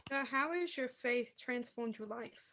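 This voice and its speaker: Woman interviewer, high-ish voice, casual friendly questioner. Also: unhurried, fairly bright tone.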